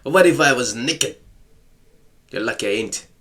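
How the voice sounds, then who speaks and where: A man's voice: mid-range voice, animated, a little slow, pushed and bright. Joking man riffing on a game. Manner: sarcastic, joking asides to the game. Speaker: let's-play gamer. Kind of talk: casual game commentary.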